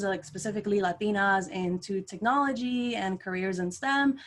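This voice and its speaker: A young adult woman from Costa Rica, introducing herself eagerly on a panel over a video call, with frequent "like" fillers. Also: mid-range voice. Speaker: panelist, engineer.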